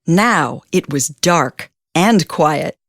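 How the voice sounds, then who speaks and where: A woman reading a children's storybook aloud as an expressive narrator, with deliberate phrasing and drawn-out emphasis. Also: deep voice, no echo, clean studio sound.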